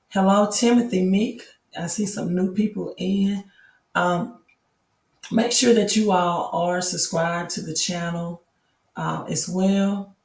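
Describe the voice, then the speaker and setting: A woman with a raspy, hoarse voice hosting a casual late-night live stream from home, chatting slowly and greeting viewers by name. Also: lowish voice, reverberant, far from the mic. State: hoarse from yelling while coaching. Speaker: crypto and news live streamer. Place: at home at a laptop.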